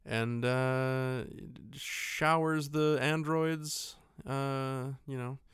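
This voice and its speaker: A man co-hosting a podcast, casually retelling a film in a joking, animated way and happy to swear. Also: mid-range voice, drawn-out words, smooth, no echo, studio quality. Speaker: podcast co-host. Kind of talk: casual film recap chat.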